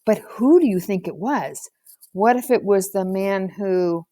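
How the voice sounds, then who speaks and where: A woman's voice: medium pitch, unhurried, mellow, dry recording, close to an everyday voice. Lady doing a nosy skeptical schoolgirl from a kids book, always questioning, dry sound. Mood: challenging. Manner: questioning, pressing. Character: inquisitive, skeptical schoolgirl friends of the boy. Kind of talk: storybook character voice.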